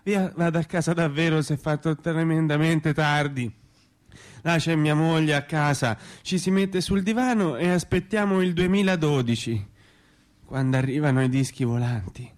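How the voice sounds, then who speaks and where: A man's voice: on the high side, natural voice. An actor playing a dazed, nostalgic old hippie man in a radio play, rambling in broken phrases with a Tuscan Italian accent. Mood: resigned. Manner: slow, rambling, broken by sighs and chuckles. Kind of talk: theatrical radio drama monologue.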